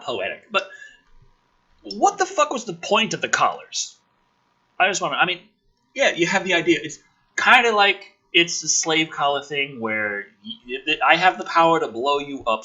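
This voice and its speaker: A man's voice: a little high, very animated, normal speed, bright, forceful tone, husky, highs cut off. A man reading a script aloud theatrically, with sudden emphasis and sarcastic, exasperated, profane commentary. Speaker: host reading and mocking a film script.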